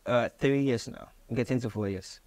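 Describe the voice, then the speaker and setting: Male guest, twenties, Ghanaian accent, average pitch, casual conversational delivery with Pidgin and Twi mixed into English. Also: normal speed, darkish tone. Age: 20s. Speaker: interview guest, musician. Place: small radio studio office, indoors.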